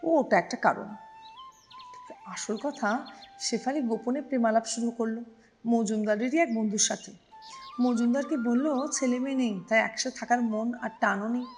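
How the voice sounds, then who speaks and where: A woman's voice: on the high side, moderate pace, soft background music, lightly acted. A woman voicing a simple, easygoing male blue shirt in a story, chatty and reassuring in a plain-spoken way. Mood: gossipy.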